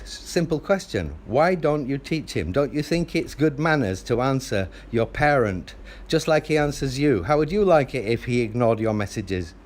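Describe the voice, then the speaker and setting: Loud, lecturing man on a phone call. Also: medium pitch. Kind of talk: heated phone argument. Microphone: phone held close to mouth.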